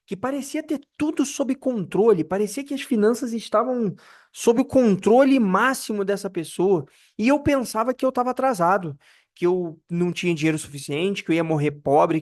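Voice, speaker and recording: A man in his early thirties, from Brazil, hosts a casual finance video. His voice sits somewhat high, and his pitch climbs and drops sharply for emphasis. He talks candidly and self-deprecatingly and often stumbles over his phrasing. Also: a little fast. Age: 30s. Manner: candid, self-deprecating, repeats himself while searching for words; pitch rises and falls widely for emphasis. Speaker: personal finance video host. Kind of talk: casual monologue to camera.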